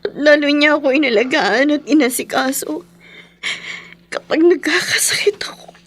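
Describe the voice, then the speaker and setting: An actress with a Filipino accent playing an emotional, haunted young wife, grieving and fearful, in a horror radio drama. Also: female voice, high-pitched, normal speed, lightly acted. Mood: sorrowful. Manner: emotional, pleading delivery.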